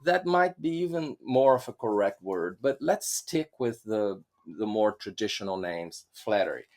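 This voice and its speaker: Man teaching, halting and thoughtful. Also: on the low side, very animated, darkish tone. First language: Portuguese. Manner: thoughtful, halting, with many restarts and fillers. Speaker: podcast co-host, Enneagram teacher. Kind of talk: conversational teaching talk.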